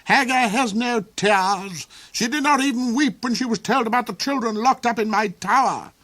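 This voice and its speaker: A man's voice: very high voice, moderate pace, fairly bright tone, voice pushed up. A man voicing a cruel, cold, ranting old duke, shrieking, snarling and cackling in an audiobook reading. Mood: gloating. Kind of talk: audiobook character voice.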